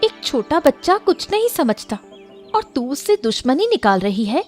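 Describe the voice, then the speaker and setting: A woman's voice: high-pitched, very animated, moderate pace, faint music behind. A woman voicing a caring but scolding elder sister who runs a hotel, in an urgent, emphatic cartoon story style. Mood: indignant, urgent. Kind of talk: Hindi cartoon moral story acting.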